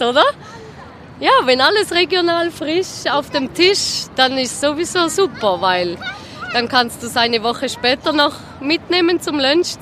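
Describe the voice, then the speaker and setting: A woman's voice: high voice, a bit bright. A woman with a Swiss accent chatting casually and bluntly in slang, dropping in English words. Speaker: street interviewee. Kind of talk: casual spontaneous chat. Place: outdoors on an urban street. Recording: faint street and vehicle noise.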